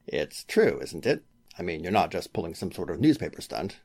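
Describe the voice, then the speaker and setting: A man's voice: low-pitched, very animated, moderate pace, creaky, darkish tone, slightly rough, faint music behind, exaggerated voice. A man playing a scheming, formal lawyer with a killer's intensity in a 1940s-style radio drama. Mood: uneasy probing. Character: scheming, smooth-talking male lawyer turned desperate killer. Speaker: voice actor.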